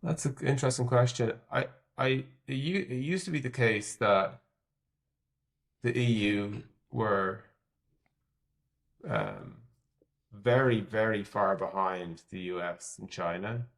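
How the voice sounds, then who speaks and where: A man with an Irish accent speaks in a fairly low voice. He answers as a tech executive in a podcast interview, conversational and candid, reasoning out loud and qualifying what he predicts. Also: animated, takes it slowly, gravelly, pauses often. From Ireland. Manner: candid, thinking aloud, hedging his predictions.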